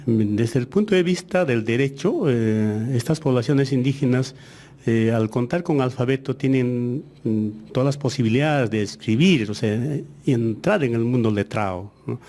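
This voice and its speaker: A man whose first language is Aymara, a ministry official answering interview questions in a measured way with frequent hesitations. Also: mid-range voice, warm tone.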